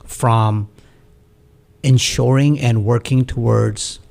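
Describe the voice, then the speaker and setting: A man from Pakistan speaks as a studio talk-show guest with a gravelly voice at a middle pitch. He talks in a measured, reflective way, pausing on fillers as he works through his thoughts. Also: steady tone, a little mellow. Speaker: guest, community activist. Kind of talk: earnest talk-show conversation.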